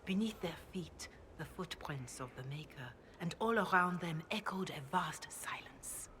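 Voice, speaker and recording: An actress voicing a devout, grave priestess in a video game, speaking in a measured, reverent way. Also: female voice, fairly low pitch, lively intonation, fairly bright tone, slight background noise. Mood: grave reciting. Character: devout, grave chantry priestess, calm and counselling. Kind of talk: video game dialogue, scripture recitation.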